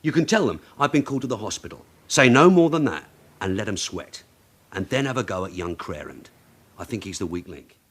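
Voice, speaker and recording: An actor playing a brisk, authoritative police detective sergeant in a radio drama, with a clipped, probing, commanding manner. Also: male voice, on the low side, big pitch swings, a bit bright, slightly rough, exaggerated voice.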